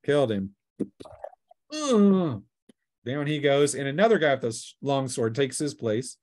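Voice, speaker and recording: A man's voice: mid-range voice, big pitch swings, measured pace, a little mellow, no room sound. Man running a casual tabletop game. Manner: playful, colloquial. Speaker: game master. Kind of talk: casual tabletop game narration with acted sound effects.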